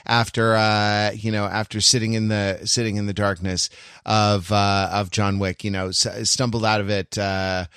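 A man's voice: on the low side, little pitch movement, normal speed, a bit bright, clear, resonant tone, dry recording, studio quality. A man hosting a casual film discussion podcast, speaking in a wry way with mock-formal asides. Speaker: podcast host.

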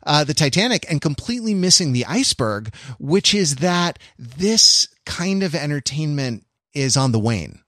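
A man's voice: on the high side, sing-song, moderate pace, hardly any pauses, sharp tone, no echo, studio quality. A man co-hosting a casual podcast discussion, rambling and often restarting his phrases mid-thought. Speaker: podcast co-host.

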